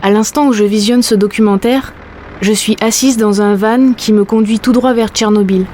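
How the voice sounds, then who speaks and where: A woman's voice: mid-range voice, steady tone, normal speed, a little mellow, a little hiss or hum. A woman in her late thirties from the south of France, narrating in an intimate, reflective first-person voice. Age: late 30s.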